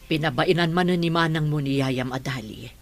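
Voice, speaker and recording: A woman's voice: low-pitched, moderate pace. A woman with a Filipino accent playing a caring, worried mother in a radio drama. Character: caring, worried middle-aged mother of the household.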